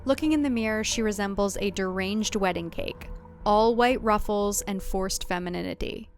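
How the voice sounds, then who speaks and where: A woman's voice: medium pitch, normal speed, soft background music. A woman who is the author, reading her audio drama as scripted narration with a wry storytelling delivery.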